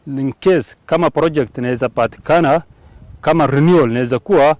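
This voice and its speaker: A man's voice: on the high side, quick pace, a little mellow, no room sound. A man giving a spontaneous interview testimonial as a business owner.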